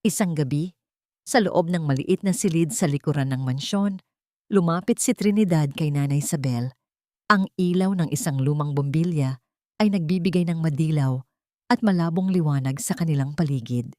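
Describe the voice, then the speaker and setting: A woman's voice: low voice, normal speed, warm tone, no room sound, studio quality. A woman with a Filipino accent reading a scripted dramatic story as an audiobook narrator.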